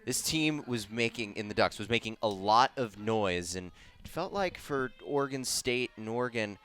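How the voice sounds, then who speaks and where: A man's voice: lowish voice, lively intonation, normal speed, fairly bright tone. A man giving color commentary, adding background notes in a conversational way.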